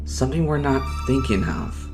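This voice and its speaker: A woman playing a steady, thoughtful creature companion in an audio drama, with a measured way of talking. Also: very deep voice, over music. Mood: pondering. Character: thoughtful, steady Zoombini companion solving puzzles.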